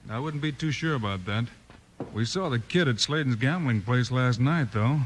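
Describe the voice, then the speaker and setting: A commanding frontier lawman acted by a man, with a middle-pitched voice and a measured, firm delivery. He sounds calm and heroic in old radio western style, with faint noise from the vintage recording. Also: lightly acted, poor audio. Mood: cool. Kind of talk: 1950s radio western acting.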